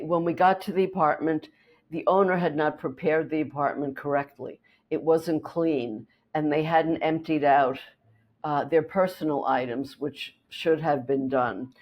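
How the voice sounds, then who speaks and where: A woman real estate agent whose first language is German, calmly explaining her work and telling a client story in an interview. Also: sits low, a little slow. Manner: steady, explanatory, with small restarts.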